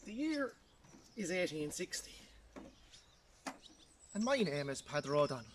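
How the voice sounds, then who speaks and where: A man performs a poor nineteenth-century Irish farmer and father in a historical monologue. His voice sits rather high, and he speaks in plain, halting country phrases heavy with grief. There is faint noise and birdsong in the recording. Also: big pitch swings. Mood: plain, proud. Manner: plain rustic storytelling, halting repeats.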